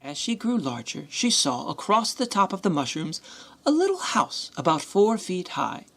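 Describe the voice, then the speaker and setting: A woman narrating a radio play in an expressive, scripted storybook style. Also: on the low side, moderate pace, gravelly, breathy edge, heavily acted.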